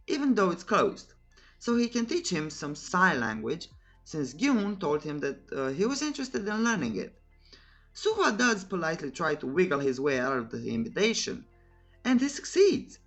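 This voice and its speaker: A narrator delivering a playful, scripted story recap in a cheeky manner, pausing teasingly before punchlines. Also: mid-range voice, creaky, fairly bright tone.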